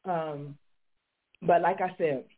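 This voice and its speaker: A woman's voice: lowish voice, steady tone, slow pace. A woman hosting a faith talk show, speaking fervently and preaching, congested and recovering from illness. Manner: fervent, decreeing, rising to preaching fervor. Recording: tinny phone-line sound.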